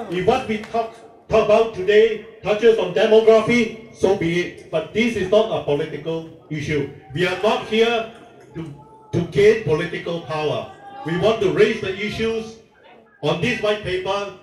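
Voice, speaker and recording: A man emceeing an outdoor protest, stressing his points through repetition. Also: high-pitched. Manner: emphatic, repeats key phrases. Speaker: rally emcee. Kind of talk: announcing at a protest. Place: outdoor park rally. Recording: distant, echoey, crowd noise. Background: chanting crowd.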